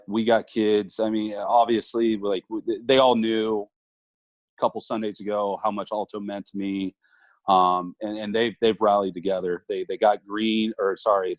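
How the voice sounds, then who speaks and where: A man's voice: fairly low pitch, no echo. A man in his thirties, a college baseball coach, talking earnestly in an interview with many fillers and restarts. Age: 30s. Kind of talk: conversational interview.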